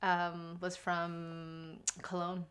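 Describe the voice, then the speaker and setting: An American woman from California, asking measured questions in a casual chat. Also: lowish voice, completely flat delivery, a crowd in the background. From California, United States.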